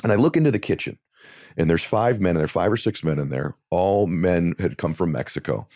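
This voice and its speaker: Deep-voiced earnest man telling a story. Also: lively intonation, fairly quick, darkish tone, no echo, clean studio sound. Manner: earnest, builds to impassioned emphasis when telling a story. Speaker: guest. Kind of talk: conversational interview storytelling.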